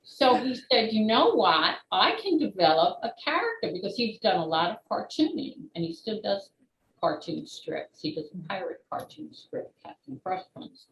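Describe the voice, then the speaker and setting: An older woman, in her sixties or beyond, answering interview questions as an author and former teacher in a measured, explanatory way with pauses between short phrases. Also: medium pitch, big pitch swings. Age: 60s or older. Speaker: children's book author and retired teacher being interviewed. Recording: roomy echo with clear background noise.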